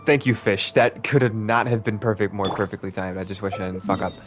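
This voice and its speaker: A man's voice: lowish voice, little pitch movement, fairly quick. A man giving casual, jokey commentary while streaming a video game. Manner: jokey, swears, laughs at the game. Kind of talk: casual let's-play commentary. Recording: game music over the mic.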